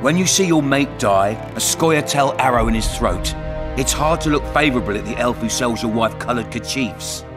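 An actor playing a cunning, blunt and sardonic town commandant in a dark fantasy video game. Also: male voice, mid-range voice, moderate pace, natural voice. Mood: blunt, persuasive. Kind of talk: dramatic video game acting. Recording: music behind the voice.

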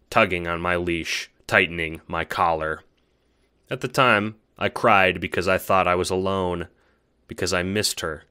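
Guy doing bitter cocky alien troll girl monologue, really low voice but bright and forceful, theatrical. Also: smooth. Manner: boastful, theatrical, scornful. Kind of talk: dramatic first-person monologue.

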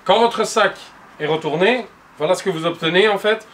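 A man's voice: high-pitched, moderate pace, a bit bright, slight background noise. Patient man explaining a craft. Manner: patient, explanatory, addresses viewer directly. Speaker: upholsterer demonstrating cushion making. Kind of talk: step-by-step how-to instructions. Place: upholstery workshop showroom, indoors.

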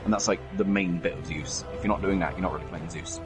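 Fast-talking, low-voiced man explaining a game. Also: steady tone. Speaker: player explaining game mechanics. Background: game music.

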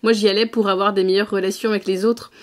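Woman podcast host, casual and laughing, mid-pitched. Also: level tone, fast talker. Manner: friendly, laughs often, casual. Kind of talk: conversational interview.

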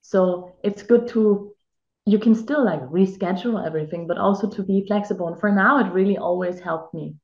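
A woman's voice: medium pitch, normal speed, darkish tone. Native German woman in her 30s, chatty interview guest, roomy dull call audio. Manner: reflective, chatty, many filler "like"s. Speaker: actress and life coach. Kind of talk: casual video-call interview. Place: home room with bare wall. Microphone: video call.

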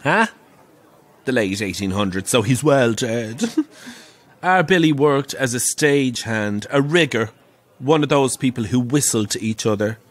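A man's voice: mid-range voice, a little slow, lightly acted. Guy doing a camp middle aged irish panto dame telling spooky theatre stories, dry and theatrical, audio drama. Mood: ominous, relishing. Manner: theatrical, wry, relishing the tale.

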